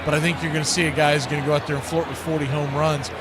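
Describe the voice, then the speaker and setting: An American man calling baseball play-by-play, mixing pitch calls with casual chat. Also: level tone, racing. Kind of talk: live sports commentary with casual chat. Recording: loud background noise.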